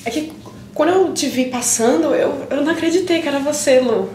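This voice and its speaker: A young actress with a Brazilian accent playing an emotional woman pleading with a long-lost friend in improvised theatre, speaking haltingly with pauses. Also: high-pitched, a little mellow, natural voice. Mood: tentative, pleading. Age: young adult. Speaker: stage actress. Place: indoor theatre stage. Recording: roomy, distant, noisy stage recording.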